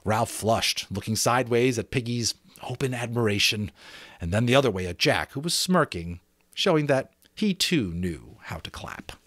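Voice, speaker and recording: A man reading a story aloud as an amateur narrator, dramatic and expressive, with deliberate phrasing. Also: medium pitch, dry recording.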